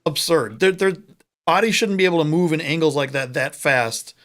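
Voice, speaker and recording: A man from the United States co-hosting a casual sports podcast over a remote call, chatty and joking, with quick asides. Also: on the high side, fairly bright tone. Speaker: podcast co-host.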